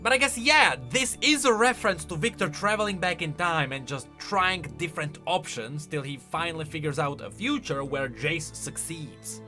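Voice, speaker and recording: A man's voice: fairly high pitch, moderate pace, hardly any pauses, sharp tone, soft background music. A man giving chatty, informal, opinionated reaction commentary on video game lore.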